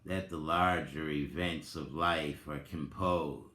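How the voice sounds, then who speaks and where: A man giving a dramatic reading, theatrical and deliberate, with drawn-out phrases and long pauses. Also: a bass voice, sharp tone. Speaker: reader and commentator, presenter of the recording. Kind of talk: dramatic reading with informal asides. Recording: roomy, echoing sound.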